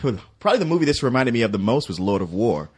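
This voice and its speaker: A man co-hosting a casual movie review, eager and quick with halting restarts. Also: medium pitch, sing-song, breathy edge. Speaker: podcast co-host.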